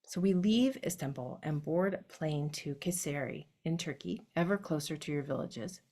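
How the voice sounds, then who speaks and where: Woman, solo monologue performer, low-pitched, reflective and direct. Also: lively intonation, normal speed, dark tone. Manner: reflective, addressing her late grandfather directly.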